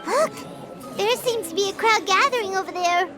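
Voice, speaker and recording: A woman voicing a tiny, chirpy and blunt female companion in a video game, with a high, bouncy voice. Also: normal speed. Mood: earnest. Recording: game audio with soft music underneath.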